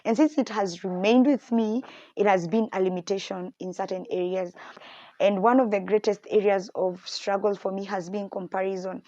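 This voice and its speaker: A woman's voice: mid-range voice, moderate pace, no room sound, highs cut off. A young woman hosting a podcast, talking candidly and reflectively about faith and her insecurities. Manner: candid, reflective, animated when quoting others. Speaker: podcast host. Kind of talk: personal faith talk.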